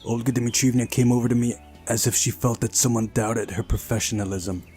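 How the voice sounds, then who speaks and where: A man's voice: medium pitch, fairly even intonation, a little fast, darkish tone. A man hosting a visual novel playthrough, reading narration aloud and adding wry, casual asides. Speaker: video game playthrough host. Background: faint music.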